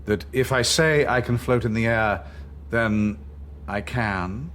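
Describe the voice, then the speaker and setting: An actor playing a calm, patronising interrogator in a radio drama, measured and condescending, with mock-kindly menace. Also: male voice, mid-range voice, a little hiss or hum. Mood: probing, controlled. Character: calm, patronising male interrogator of a totalitarian regime. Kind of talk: naturalistic radio drama acting.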